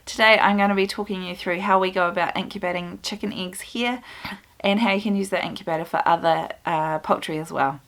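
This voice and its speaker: A woman with a New Zealand accent and a croaky voice, chatting through a homestead how-to with frequent ums. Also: on the low side, fairly even intonation, fairly quick, a bit bright. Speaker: homestead video host. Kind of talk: casual tutorial. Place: small music studio indoors.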